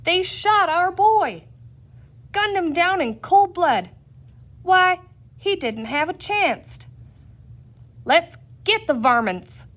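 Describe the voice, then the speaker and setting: A woman voicing angry little green men in a storybook, shouting old-west cowboy slang. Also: very high voice, expressive, slow, pauses often, pitched above the performer's own voice, heavily acted. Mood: outraged.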